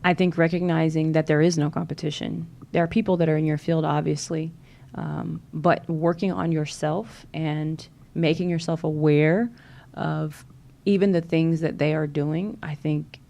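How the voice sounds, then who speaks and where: Woman, Southern accent, podcast guest, low-pitched, gravelly, earnest, encouraging. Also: level tone, measured pace, a little mellow. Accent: Southern American. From Louisiana. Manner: earnest, encouraging, many fillers. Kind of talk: casual interview chat.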